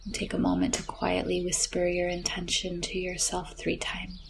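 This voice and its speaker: A woman leads a guided yoga nidra practice very slowly and gently. Her voice is somewhat low and crackles with vocal fry, often softening into breathiness. Faint birdsong and nature ambience sit behind her. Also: completely flat delivery. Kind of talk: slow guided relaxation meditation. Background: chirping birds, nature ambience.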